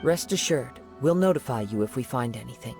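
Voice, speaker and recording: A man voicing a calm young wandering samurai in a video game, speaking in a measured, thoughtful way. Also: medium pitch, music in the background, lightly acted. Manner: measured, thoughtful and soft-spoken. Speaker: investigating hero.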